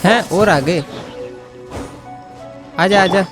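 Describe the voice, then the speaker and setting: A woman's voice: mid-range voice, fairly even intonation, fast. A woman with an Indian accent giving casual, informal gameplay commentary as the host, reacting to the game in a giggly way. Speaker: gaming video host. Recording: game music behind commentary.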